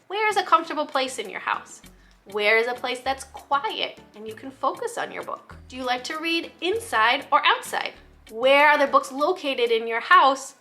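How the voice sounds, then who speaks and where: A woman with a high, very bright voice speaks as a teacher in an instructional video. She explains each idea clearly and encouragingly, with music playing behind her. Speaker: teacher giving a lesson to other teachers.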